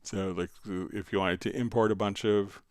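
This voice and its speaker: A man hosting a live stream, casually explaining software step by step while answering viewer questions. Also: lowish voice, steady tone, moderate pace, clear, resonant tone, no echo, clean studio sound.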